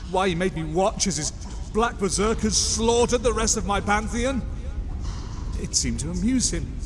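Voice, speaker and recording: A man voicing a tormented, unhinged god who survived torture, theatrical and halting, in a comic book drama. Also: high voice, a little hiss or hum.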